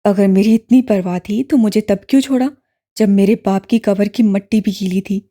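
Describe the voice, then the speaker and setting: A woman voicing a bitter young man who shouts accusations at his sister, in a lowered voice in an audiobook. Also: steady tone, lightly acted. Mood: bitter, shouting. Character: furious young man, bitter estranged brother. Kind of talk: audiobook character voice.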